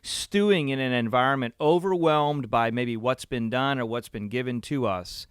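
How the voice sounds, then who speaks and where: A man's voice: mid-range voice, normal speed, smooth, no room sound, clean studio sound. A man hosting an interview, affirming his guest often and asking open questions in a conversational way. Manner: affirming, with frequent "mm-hmm" backchannels. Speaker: podcast host, interviewer.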